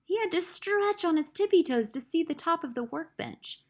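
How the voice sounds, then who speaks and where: A woman narrating a children's picture book in an expressive read-aloud style. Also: extremely high pitch, normal speed. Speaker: storybook reader.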